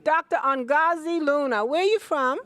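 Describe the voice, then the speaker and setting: High-voiced woman hosting interviews. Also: moderate pace, a bit bright. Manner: repeats guests' answers aloud to the audience. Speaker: interviewer, conference host. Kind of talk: on-camera event interviews.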